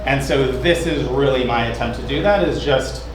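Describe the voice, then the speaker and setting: A man's voice: mid-range voice, normal speed, music in the background. A man giving a loose, chatty presentation of his app, with lots of fillers and restarts. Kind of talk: casual demo talk. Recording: echoey room with background noise.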